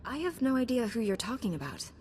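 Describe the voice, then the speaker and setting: A woman's voice: on the high side, big pitch swings, quick pace, darkish tone, a little hiss or hum. A woman voicing a composed, confident warrior heroine in a science fiction video game. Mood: composed, firm.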